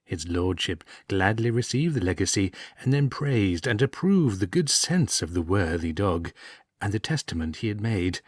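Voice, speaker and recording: Male storyteller, lowish husky voice, wry and measured audiobook read, close dry sound. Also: expressive, no echo. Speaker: audiobook reader. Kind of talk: storytelling narration of an old comic tale.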